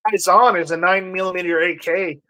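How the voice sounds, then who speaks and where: A man with a fairly high-pitched voice hosts a casual live stream. He moves between reading out comments and loose chat. His delivery is halting, with words often repeated as he goes. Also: fairly even intonation, bright, forceful tone.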